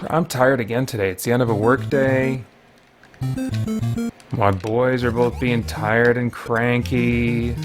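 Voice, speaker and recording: A man's voice: medium pitch, little pitch movement, takes it slowly. Rambling man over retro game music. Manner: rambling, thinking aloud. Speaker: host of a video series. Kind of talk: casual gameplay commentary. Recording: video game music behind the voice. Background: retro video game music.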